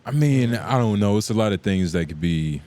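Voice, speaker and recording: A man's voice: fairly low pitch, animated, brisk, mellow. A man bantering casually as a podcast panelist, slangy and given to exclaiming when challenged.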